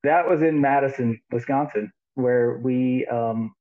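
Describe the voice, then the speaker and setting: A man's voice: mid-range voice, measured pace. Sixty-something male guest musician on a thin, phone-quality video-call line; chatty and rambling, laughs a lot. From United States. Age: 60s. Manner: rambling, laughs often, many restarts. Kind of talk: casual interview over video call. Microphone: headphones on a video call.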